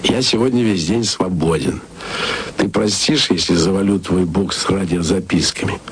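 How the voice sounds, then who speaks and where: A man's voice: low voice, moderate pace, breathy edge. A man narrating a radio play as tender, musing letters spoken to a loved one. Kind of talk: intimate radio-play monologue.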